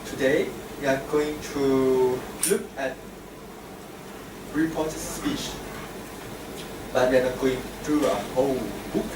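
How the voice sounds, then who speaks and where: Slow-spoken man giving a grammar tip. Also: mid-range voice, fairly even intonation. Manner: plain, repetitive teaching with worked examples. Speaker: grammarian at a speaking club. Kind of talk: short grammar lesson. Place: club meeting room. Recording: echoey room, distant mic, air conditioning hum.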